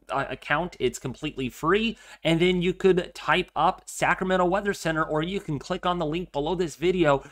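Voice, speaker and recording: A man's voice: medium pitch, a bit bright. A young man getting over a cold, sounding congested and a little nasal, earnestly giving a casual weather update from his home office. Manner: earnest, repeats himself, many fillers. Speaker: weather forecaster. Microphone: webcam framing at desk.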